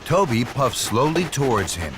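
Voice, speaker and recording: A man narrating a scripted children's story in an expressive storytelling manner. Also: mid-range voice, moderate pace, background noise. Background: music.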